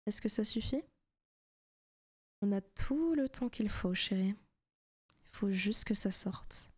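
Soft, teasing demon girlfriend acted by a woman. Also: mid-range voice, takes it slowly, slightly rough. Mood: taunting, possessive. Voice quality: soft, often breaking into whispers. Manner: teasing, coaxing, possessive. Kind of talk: intimate ASMR roleplay.

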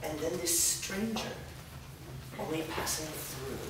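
An actor playing a prissy, menacing henchman of a dark master in a staged fantasy reading, haughty, insinuating and deliberate. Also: on the high side, lively intonation. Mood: suspicious. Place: indoor performance room before a small audience. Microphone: far camera. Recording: echoey hall, distant mic, noisy.

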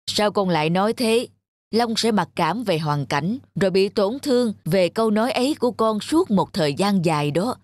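A woman voicing a patient, wise village father and teacher in a moral cartoon, speaking in a measured, instructive way. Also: mid-range voice, lively intonation, a little mellow, no echo, lightly acted. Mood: concerned. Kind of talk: cartoon dialogue, moral storytelling. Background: music.

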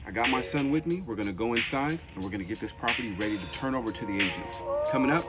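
A man's voice: mid-range voice, expressive, quick pace, loud music behind the voice. Upbeat man filming in an echoey empty house. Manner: upbeat, chatty, emphatic repetition. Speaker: real estate investor. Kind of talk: walkthrough vlog, tips to camera. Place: empty house, bare rooms. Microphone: handheld phone at arm's length. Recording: echoey empty rooms with long reverb.

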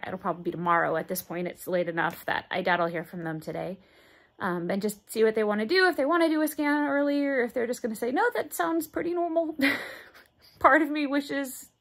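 Halting woman vlogging from her bedroom. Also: on the high side, fairly bright tone. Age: 30s. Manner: halting, searching for words, many fillers. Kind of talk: casual daily video diary. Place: bedroom indoors, moving boxes behind. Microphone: phone held at arm's length.

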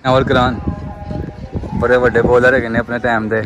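A young man vlogging casually and calling a match excitably, repeating short calls. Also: medium pitch, a little slow, muffled recording, background noise. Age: young adult. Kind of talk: casual vlog chat and match commentary. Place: outdoor netted cricket turf at night. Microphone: handheld phone at arm's length.

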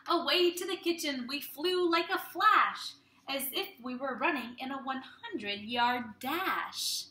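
Young lady doing a kids read-aloud at home, bright animated voice, kinda roomy sound. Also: high voice, slow pace. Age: young adult. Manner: animated, expressive, addressing children directly. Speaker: children's teacher reading aloud. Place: small room at home.

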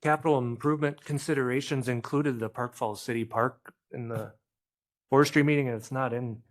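A man asking blunt, halting questions as a board member in a meeting. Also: medium pitch, steady tone.

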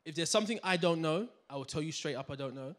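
A man gives a seminar talk on a handheld mic in a community hall. He speaks fast in a slightly high voice, animated and keen to draw in the crowd. He keeps checking in with "right? yeah?" as he goes. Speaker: finance speaker. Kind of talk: lively seminar talk to an audience. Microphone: handheld microphone.

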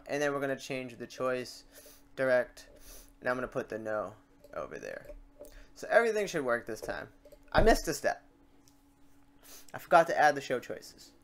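A man's voice: medium pitch, creaky. Casual man rambling through a tutorial. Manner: rambling, talks through mistakes as he works. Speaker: tutorial host. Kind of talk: casual screen-recorded software tutorial.

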